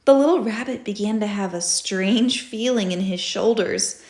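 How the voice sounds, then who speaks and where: Casting: female storybook reader, very unhurried, expressive, deliberate phrasing, recorded in an echoey room. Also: medium pitch. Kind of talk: children's story read-aloud. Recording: roomy echo.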